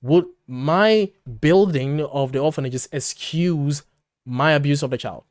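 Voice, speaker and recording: A man's voice: fairly high pitch, measured pace, darkish tone, no room sound, clean studio sound. A man co-hosting a podcast, making his case conversationally with blunt emphasis and rhetorical questions. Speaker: podcast co-host. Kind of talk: conversational commentary, arguing a point.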